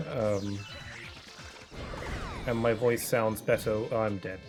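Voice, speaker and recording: A man's voice: lowish voice, fairly even intonation, slow pace. A young man chatting casually while streaming a video game, rambling with lots of fillers and restarts. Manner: rambling, soft-spoken, many fillers and restarts. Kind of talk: casual chat while gaming. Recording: game music playing behind.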